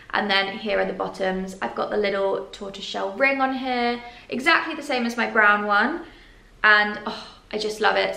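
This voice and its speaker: Young British woman, upbeat fashion vlogger, gushing delivery, crisp bright tone, echoey room. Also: mid-range voice. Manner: gushing and enthusiastic. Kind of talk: casual clothing haul to camera.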